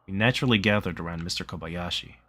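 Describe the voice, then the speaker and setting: A man's voice: deep voice, fast talker, bright, forceful tone. A man reading a visual novel aloud as a streamer, in casual narration.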